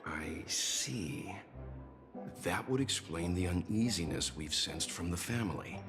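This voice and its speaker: Low-voiced male game advisor, courteous, analytical, formal, loud music behind. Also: expressive. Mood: grave, pensive. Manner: formal, deliberate, reasoned. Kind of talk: scripted game dialogue.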